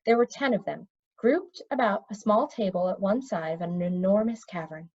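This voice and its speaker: A woman narrates a children's fantasy story out loud with expression. Her voice sits at a middle pitch. The recording is dull and low in fidelity. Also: moderate pace. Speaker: storybook reader.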